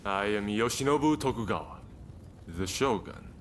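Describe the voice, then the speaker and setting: A man's voice: fairly low pitch, expressive, normal speed, slight background noise, lightly acted. A man playing a genial, self-assured Japanese shogun in a video game cutscene, speaking in a courtly, composed way. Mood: amused, composed. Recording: loud music behind the voice.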